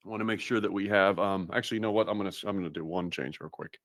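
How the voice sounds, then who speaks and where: A man's voice: sits low, very fast, fairly bright tone, dry recording, clean studio sound. A man from Massachusetts hosting a live webinar, chatty and upbeat, with lots of fillers and restarts. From Massachusetts, USA. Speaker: webinar host.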